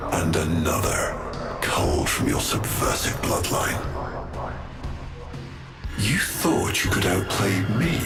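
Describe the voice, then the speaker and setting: A deep-voiced, haughty old villain speaks slowly and formally, with theatrical swoops of menace. It is game cutscene drama, with loud music underneath. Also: male voice, gravelly, heavily acted. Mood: cold menace. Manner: theatrical, formal, slow and deliberate.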